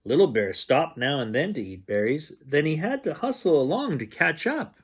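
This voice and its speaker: A man reads a children's bedtime story in a husky voice. His pitch moves around a lot, giving the storybook narration an expressive, playful shape. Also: moderate pace. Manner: expressive, acts out sounds and dialogue. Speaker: storyteller reading a children's picture book. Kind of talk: animated bedtime story reading with playful asides.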